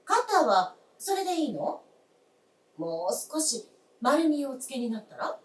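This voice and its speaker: An actress playing a polite, solicitous young wife in a staged radio drama, speaking formally and coaxingly. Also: female voice, sits high, big pitch swings, unhurried. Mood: gentle, polite. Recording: echoey stage, distant microphone.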